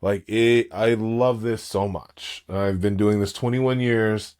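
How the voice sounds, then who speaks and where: A man in his late thirties chatting casually as a podcast co-host, an animated and gushing fan. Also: fairly low pitch, takes it slowly. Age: 30s.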